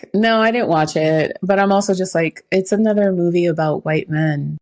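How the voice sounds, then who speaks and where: A woman's voice: lowish voice, moderate pace, darkish tone. A woman co-hosting a podcast, speaking in a casual, conversational way. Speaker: podcast co-host.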